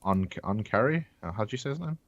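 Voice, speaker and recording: A man's voice: lowish voice, lively intonation, quick pace, no room sound, highs cut off. A man giving casual commentary as an esports co-caster.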